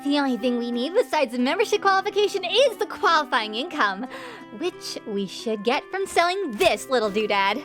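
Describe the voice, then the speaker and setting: Woman acting a brash, high-pitched girl thief. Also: animated, moderate pace, fairly bright tone, soft background music. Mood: chatty explaining. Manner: cheeky, breezy, rambling. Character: brash, chatty young female thief. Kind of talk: anime parody voice acting.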